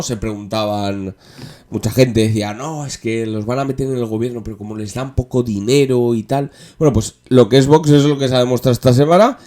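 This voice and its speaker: A male podcast host with a Spanish accent from Spain talks politics casually. His delivery is wry and joking, and he often stops and restarts as he works toward a sarcastic point. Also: medium pitch.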